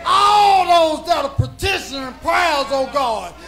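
A man with a very high voice leads a congregation in prayer, his words slow and drawn out. He is impassioned and often shouts, punctuating phrase after phrase with calls to the Lord. Music plays clearly behind him in the church. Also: expressive. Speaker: prayer leader. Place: church service. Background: music playing.

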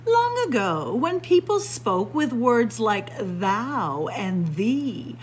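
Female library storyteller for picture-book read-aloud. Expressive swoops, deliberate and unhurried, slight room noise. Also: fairly high pitch. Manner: theatrical, deliberate storytelling delivery. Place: indoor library room with bookshelves.

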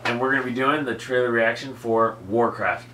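A man's voice: medium pitch, fairly even intonation, moderate pace, sharp tone. A young man hosting a comedy web show, upbeat and leading the group with frequent laughs, recorded in an indoor studio. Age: young adult. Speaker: show host. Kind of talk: comedy sketch banter. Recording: echoey room, faint noise.

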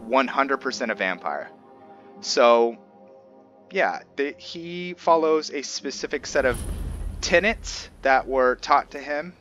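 A man giving casual, halting commentary as he explains his roleplay video game playthrough. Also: mid-range voice, animated, fairly bright tone, dull audio. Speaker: gamer narrating a roleplay playthrough. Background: faint game music.

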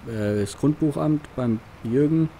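A man's voice: mid-range voice, a little slow, mellow, a little hiss or hum. A young man chatting casually while playing a farming roleplay, giving loose commentary. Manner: chatty, laughs between phone calls. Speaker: let's play roleplay host.

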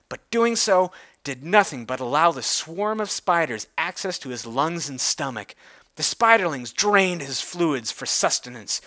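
Male narrator, bright and forceful, highly varied pitch, mock-dramatic storybook delivery.

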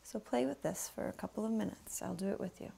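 A woman instructor guiding a slow breathing meditation, often dropping to a soft whisper as she coaches. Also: mid-range voice, creaky, breathy edge.

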